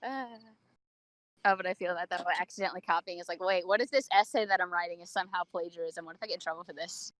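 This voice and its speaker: A woman's voice: fairly low pitch, fast talker, a bit bright, no echo, rough recording. A woman in a casual podcast chat, teasing and acting out imagined quotes as she makes her point.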